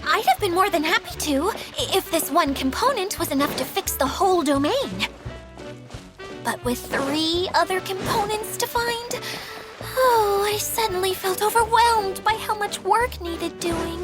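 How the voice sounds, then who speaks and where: A woman's voice: very high-pitched, animated, measured pace, over music. A woman voicing a timid, polite and self-doubting mascot caretaker in a video game, speaking in a hesitant, apologetic way. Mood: embarrassed, apologetic.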